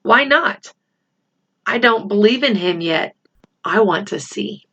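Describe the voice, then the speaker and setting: A woman's voice: medium pitch, lively intonation, takes it slowly, bright, forceful tone, heavily acted. Woman voicing a skeptical, sarcastic schoolboy. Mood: doubting, insistent. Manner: challenging, sarcastic. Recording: slightly dull, lo-fi home recording.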